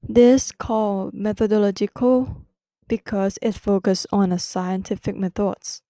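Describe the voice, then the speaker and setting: Thai-accented woman hosting a study podcast, slow and halting, mellow tone, dry close sound. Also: medium pitch, steady tone, dry recording. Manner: careful, halting second-language delivery with restarts. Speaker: podcast host. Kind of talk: educational podcast explanation.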